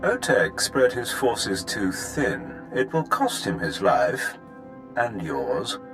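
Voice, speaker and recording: An actor playing an arrogant, menacing male Sith villain in a video game, taunting with measured contempt. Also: fairly low pitch, sing-song. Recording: music under the voice.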